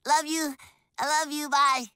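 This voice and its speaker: A man voicing a goofy, excitable teen boy hero in a cartoon, who talks in a dramatic, whiny way. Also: very high voice, unhurried, over music. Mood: mopey. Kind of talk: cartoon voice acting.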